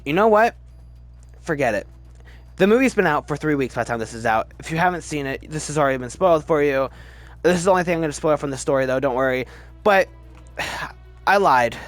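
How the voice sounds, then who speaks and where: A man giving casual, opinionated commentary as a video host, emphatic and halting, often restarting his sentences. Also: on the high side, a bit bright. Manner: chatty, halting, restarts sentences mid-word. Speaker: movie review video host. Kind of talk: casual ranked-list movie commentary.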